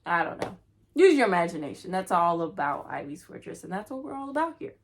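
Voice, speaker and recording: A woman's voice: fairly low pitch, very animated, fairly quick. A woman hosting a children's read-aloud, chatting playfully to young listeners and reading aloud.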